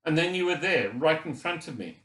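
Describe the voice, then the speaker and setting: A man's voice: medium pitch, lively intonation, a little slow, a bit bright, roomy sound. A man voicing a guilt-ridden middle-aged Scottish father in an audiobook, earnest and apologetic, with an unsteady voice. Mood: remorseful, upset. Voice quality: wobbly, unsteady. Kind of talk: audiobook character dialogue.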